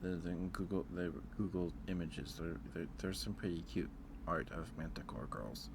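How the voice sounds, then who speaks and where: A soft-spoken man hosting a casual ASMR stream, chatting with viewers and musing aloud in a hesitant way. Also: low voice, normal speed, gravelly, background noise.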